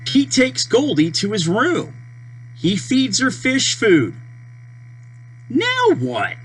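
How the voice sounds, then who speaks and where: A person reading a children's storybook aloud, with deliberate, simple phrasing and page-turn prompts. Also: medium pitch, husky. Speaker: storybook reader. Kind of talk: children's read-aloud narration. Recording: steady mains hum behind voice.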